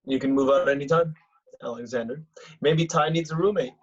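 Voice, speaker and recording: A man's voice: a little high, brisk, slightly rough. An actor playing a determined, bossy young man in a comedy script read over a video call. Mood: insistent. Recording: muffled, lo-fi video-call audio.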